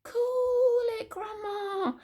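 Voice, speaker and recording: A woman's voice: very high voice, steady tone, drawn-out words, warm tone, voice pushed up, exaggerated voice. A woman voicing an eager, cheeky young boy in a storybook, speaking to his elder with teasing politeness. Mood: politely concerned.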